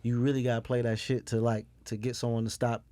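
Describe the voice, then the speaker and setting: A man hosting a casual podcast, talking in an animated, slangy way with lively interjections. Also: mid-range voice, quick pace, dry recording. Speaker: podcast host.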